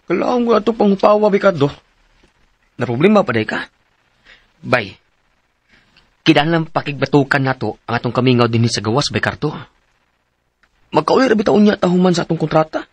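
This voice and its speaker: An actor with a husky voice and Filipino Cebuano accent playing a practical, urging friend working abroad in a radio drama. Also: male voice, fairly high pitch, sing-song, fairly quick, pauses often, no room sound, full character voice. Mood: reassuring, urging.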